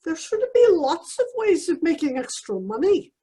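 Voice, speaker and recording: Very high, swooping landlady. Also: big pitch swings, moderate pace, dark tone. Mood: cheery, doting. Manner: theatrical, folksy scolding and endearments. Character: shrill, sentimental Irish landlady, easily affronted. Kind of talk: old-time radio comedy acting.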